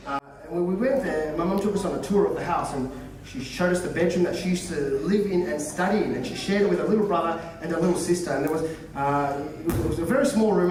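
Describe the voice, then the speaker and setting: A young Australian man with an Australian accent performing an animated stand-up comedy set, slipping into voices of the people in his stories. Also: high-pitched. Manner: animated storytelling, mimics voices of mum and others. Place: large hall with a live audience. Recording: echoey hall, miked from far off, audience.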